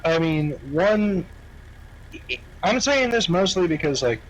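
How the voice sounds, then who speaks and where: A man's voice: sits high, animated, slow, rough recording. A man talking casually in an online roleplay game, emphatic and repetitive, swearing freely. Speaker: roleplay gamer, faction member.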